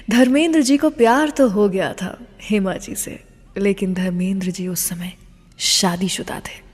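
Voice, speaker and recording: A woman with an Indian accent hosting a radio show, telling scripted stories and introducing songs in a dramatic, emphatic way. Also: medium pitch. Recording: long reverb on the voice.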